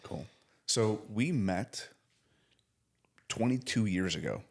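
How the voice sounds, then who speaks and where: Deep-voiced man in his forties hosting. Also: lively intonation, slow, creaky. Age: 40s. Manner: earnest, warm praise with casual slang. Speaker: podcast host. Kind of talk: casual interview chat.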